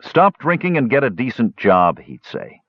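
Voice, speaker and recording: A man's voice: mid-range voice, huge pitch swings, moderate pace, husky, dry recording, lo-fi sound, voice pushed up, exaggerated voice. A man voicing a stubborn young dwarf nephew in a fantasy audiobook, sullen, blunt and defensive. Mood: regretful. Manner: belligerent, blunt, informal.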